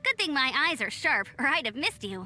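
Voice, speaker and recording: A woman voicing an upbeat, energetic young female scout in a video game, bubbly and friendly. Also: high voice, fairly quick, exaggerated voice. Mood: cheerful. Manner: bubbly, enthusiastic. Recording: faint game music behind.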